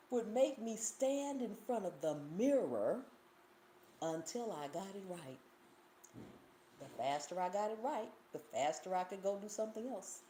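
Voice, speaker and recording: A woman with a Southern US accent chats on a cooking channel's live Q&A, telling stories and reading out viewer comments. Her pitch swoops widely as she talks. The room gives her voice a roomy echo over a faint hiss. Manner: animated storytelling, reads viewer comments, laughs often. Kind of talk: casual livestream Q&A chat.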